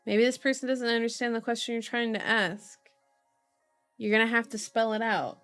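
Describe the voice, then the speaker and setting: A woman's voice: on the high side, fairly even intonation, normal speed, fairly bright tone, no room sound, soft background music. A woman hosting a casual livestream, chatting and musing aloud as she reads out cards, often drawing out her words. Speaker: livestream host reading tarot and horoscopes. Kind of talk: casual livestream chat mixed with reading aloud.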